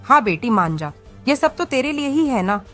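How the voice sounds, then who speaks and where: A woman's voice: sits high, big pitch swings, normal speed, a bit bright, soft background music. A woman voicing a middle-aged, agreeable, coaxing mother of the bride in a cartoon story. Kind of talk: Hindi cartoon story dialogue.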